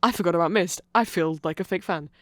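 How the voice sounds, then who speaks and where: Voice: medium pitch, fast, a bit bright, no room sound. A person giving a casual, rambling ASMR explainer in a nerdy, chatty, self-correcting way, like an enthusiastic fan talking to the listener. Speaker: host explaining band lore to the listener.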